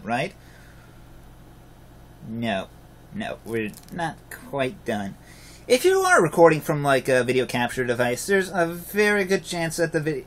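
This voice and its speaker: A man with a loud voice casually talking viewers through a software tutorial over a screen recording, blunt and rambling, often restarting his sentences. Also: mid-range voice. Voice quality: self-described loud voice. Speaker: video tutorial host.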